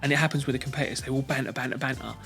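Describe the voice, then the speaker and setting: Fast, flat-toned British man. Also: medium pitch, vocal fry, soft background music. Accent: British English. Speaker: bricklaying college lecturer. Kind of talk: casual podcast chat.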